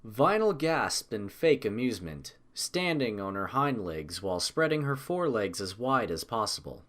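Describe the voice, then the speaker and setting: A story narrator with a very animated delivery, reading scripted prose. The intonation rises and falls widely from phrase to phrase. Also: mid-range voice, normal speed.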